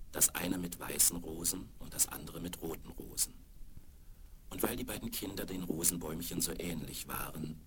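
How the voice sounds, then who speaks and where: A man narrating a scripted fairy tale for children as storyteller, on a crackly 1970s vinyl record. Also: rumbling low.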